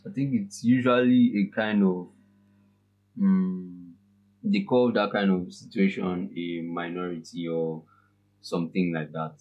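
Deep-voiced young man. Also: darkish tone, lo-fi sound. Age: 20s. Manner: halting, laughs often, thinks aloud. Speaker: podcast guest. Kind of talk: casual chat.

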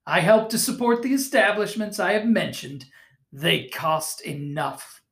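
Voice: mid-range voice, lively intonation, a little slow, fairly bright tone, echoey room. A reader voicing a bitter, miserly old man who answers with curt scorn, read from a storybook. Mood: scornful.

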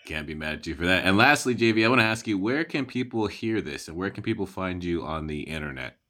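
Male podcast host, extremely low voice with a bright, forward edge, informal questioning. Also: lively intonation, fast. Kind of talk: casual interview chat.

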